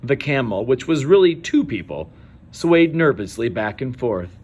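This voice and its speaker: Male children's story narrator. Slow, very varied intonation, dramatic pauses. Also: mid-range voice, big pitch swings, a little mellow, highs cut off. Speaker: storybook reader. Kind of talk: expressive children's read-aloud narration.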